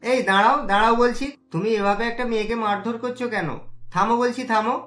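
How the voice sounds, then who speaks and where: A woman's voice: fairly high pitch, fairly even intonation, fairly quick, a bit bright, lightly acted. A woman voicing an earnest, humble young working man in a cartoon story. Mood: stern. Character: earnest young man, humble pizza delivery worker turned owner. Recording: roomy echo, music bed.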